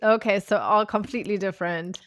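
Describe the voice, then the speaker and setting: A woman's voice: mid-range voice, steady tone, fairly quick, a bit bright, dry recording. A woman with German as her first language hosts a podcast interview in a casual, chatty way, often stalling with fillers and restarting her questions. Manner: hesitant, with many fillers and restarts. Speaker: podcast host.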